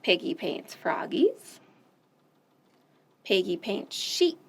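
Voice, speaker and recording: A woman hosting a children's storytime, reading picture books aloud gently and asking the kids questions. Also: medium pitch, very animated, breathy edge. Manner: gentle, slow, sing-song, asks children questions.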